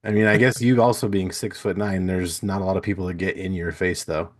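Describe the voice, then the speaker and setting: A man's voice: low voice, steady tone, brisk. A man who works as a radio DJ, chatting easily as a podcast guest with short agreeing replies. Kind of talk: casual interview chat.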